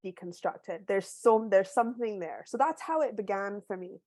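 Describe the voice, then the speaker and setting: A woman from Barbados, an author, talking through a video call. She tells her story thoughtfully with small restarts and sounds a little hoarse from a cold. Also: mid-range voice, moderate pace, a little mellow, no room sound, clean studio sound. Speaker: author guest. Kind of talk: casual book club video call conversation.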